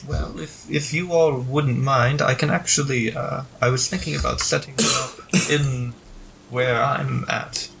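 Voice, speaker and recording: A man playing a tabletop game, talking in character in a measured, polite, slightly hesitant way. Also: mid-range voice, fairly even intonation, gravelly, a bit bright, a little hiss or hum, rough recording. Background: background music.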